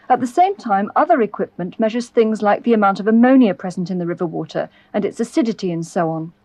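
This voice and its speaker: A British woman reading scripted narration for an educational film, explaining in a clear, measured way. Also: medium pitch, a little mellow, dry recording. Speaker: documentary narrator. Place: close, dry voice-over recording.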